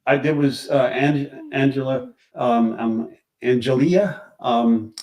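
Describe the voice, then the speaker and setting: A man discussing crime cases casually on a live stream, halting as he searches for words, recorded at a distance from the microphone. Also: mid-range voice, a little mellow.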